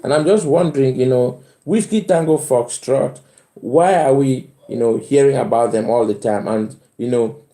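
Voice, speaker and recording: A middle-aged Cameroonian man hosts a casual live stream from an echoey room at home. His voice is mellow and relaxed at a medium pitch. He talks off the cuff to his viewers, often repeating phrases to drive them home. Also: moderate pace. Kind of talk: casual live chat, reading out and answering comments. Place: bedroom at home. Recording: roomy indoor echo.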